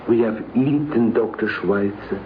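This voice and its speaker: A man telling a prepared anecdote in a formal, deliberate storytelling manner. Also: fairly low pitch. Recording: muffled 1950s radio recording, faint noise.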